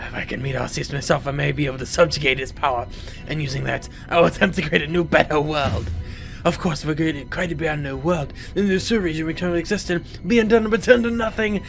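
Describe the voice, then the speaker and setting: A man's voice: fairly high pitch, expressive, fairly quick, creaky, pushed and bright, soft background music, heavily acted. A man voicing a megalomaniac, scholarly villain from a video game, theatrical, grandiose and intense, obsessed with remaking the world. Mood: cold, grandiose. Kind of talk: video game character monologue.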